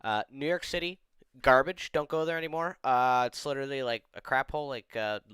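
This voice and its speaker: A man's voice: medium pitch, fairly quick, fairly bright tone, smooth, no room sound, studio quality. A young man chatting casually on a stream, rambling with lots of "like" and giving blunt opinions. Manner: rambling, opinionated, heavy use of "like". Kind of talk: casual chat.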